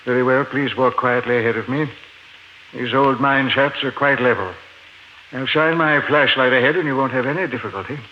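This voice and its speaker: An actor playing a formal, insistent former professor turned polite kidnapper, with an American accent in 1950s radio drama style. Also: male voice, medium pitch, normal speed, lightly acted. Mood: calm, commanding. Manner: formal, insistent, reassuring while threatening. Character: calm, doom-obsessed former professor, a polite gun-wielding kidnapper. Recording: dull, noisy 1950s radio recording.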